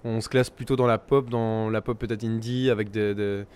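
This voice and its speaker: A young musician from Belgium answering interview questions in quick, chatty explanations. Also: male voice, lowish voice, fairly even intonation, a little mellow, clean tone. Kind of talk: festival interview.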